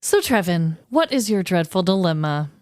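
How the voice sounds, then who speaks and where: A woman's voice: lowish voice, expressive, normal speed, darkish tone, dry recording, clean studio sound. A woman in her thirties co-hosting a podcast, chatty and playful, laughing often. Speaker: podcast co-host. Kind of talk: casual chat.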